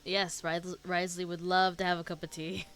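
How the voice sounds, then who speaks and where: A woman's voice: lowish voice, little pitch movement, moderate pace, fairly bright tone, soft background music. A woman streamer reacting casually to a game in short, offhand interjections.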